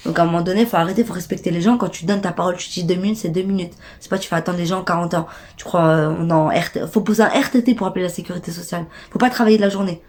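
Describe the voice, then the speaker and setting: A young woman's voice, slightly low for a woman and audibly stuffed up from a cold. She rants in loose street slang and speaks directly to her viewers, indignant and exasperated. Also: fairly even intonation, rapid-fire, few pauses, darkish tone. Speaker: vlogger. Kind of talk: casual storytime rant.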